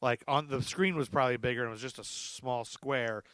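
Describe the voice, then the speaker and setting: A man's voice: medium pitch, fairly quick, a bit bright, smooth, no room sound. A middle-aged man hosting a podcast, chatting casually in a rambling, self-deprecating way and laughing often. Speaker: podcast host. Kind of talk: casual chat.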